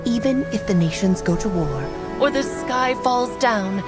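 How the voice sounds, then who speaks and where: A woman's voice: on the high side, expressive, a little slow. A woman voicing a playful, grand elder witch in a video game, theatrical and coy, with a motherly storytelling manner. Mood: tender. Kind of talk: video game character acting. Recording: music playing behind.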